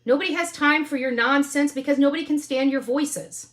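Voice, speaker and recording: A woman's voice: high voice, brisk. A woman in her fifties talking combatively and dismissively in a livestream rant, swearing with heavy emphasis. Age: 50s.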